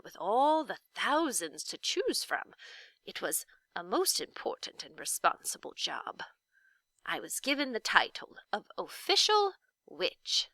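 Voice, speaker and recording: A woman voicing a kindly, dignified old witch in a children's storybook, gentle, deliberate and formal in manner. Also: fairly high pitch, sing-song, no room sound, heavily acted. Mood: proud, reminiscing. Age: older. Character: kindly, dignified old lady, a not-so-wicked official witch. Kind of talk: children's storybook read-aloud.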